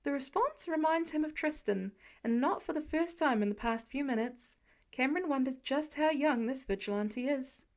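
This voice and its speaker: A woman's voice: high-pitched, moderate pace. A woman reading scripted fiction as an audiobook narrator.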